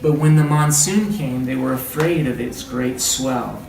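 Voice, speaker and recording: A man's voice: medium pitch, measured pace. Man teaching in a noisy, echoey room. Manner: encouraging, conversational, repeats listeners' answers. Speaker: read-aloud trainer. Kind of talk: interactive read-aloud teaching. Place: indoor group training session. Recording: roomy, distant, clearly noisy room recording.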